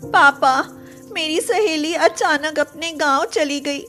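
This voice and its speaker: A woman's voice: high-pitched, takes it slowly, pushed and bright, soft background music, heavily acted. A woman voicing an emotional young schoolgirl in an acted moral story. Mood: sad, worried.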